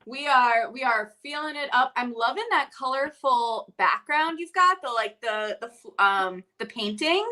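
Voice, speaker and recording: A woman's voice: on the high side, expressive, unhurried, few pauses, bright, forceful tone. A woman hosting a live online interview, bubbly and upbeat, laughing along with her guest. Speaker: host. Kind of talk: casual livestream interview. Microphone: video call.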